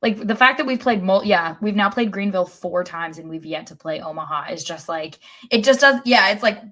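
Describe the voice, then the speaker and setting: A woman's voice: medium pitch, brisk, bright, forceful tone. Woman in casual, emphatic sports chat. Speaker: podcast co-host.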